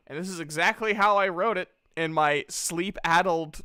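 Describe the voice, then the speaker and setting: A woman's voice: on the low side, normal speed, pushed and bright, smooth. A woman running a tabletop game, narrating casually with slangy, joking asides. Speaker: game master.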